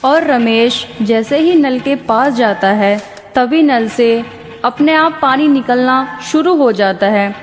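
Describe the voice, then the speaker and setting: A woman's voice: on the high side, takes it slowly. A woman narrating a scripted horror story as its storyteller. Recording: long reverb with faint music. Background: faint background music.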